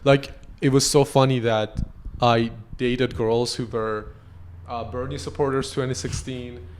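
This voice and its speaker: A man's voice: medium pitch, steady tone, measured pace, slight background noise. Brief: male co-host, Persian native speaker, casual talk, agreeable, restarts sentences. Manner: agreeable, answers with short yeahs, restarts sentences. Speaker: podcast co-host. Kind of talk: casual chat.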